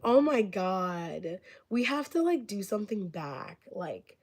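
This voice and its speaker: A woman's voice: mid-range voice, very animated, slow pace, darkish tone. Rambling woman tarot reader, voice fading. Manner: rambling, heavy with filler words; gushing thanks to viewers. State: losing her voice from illness. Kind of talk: live-stream tarot reading.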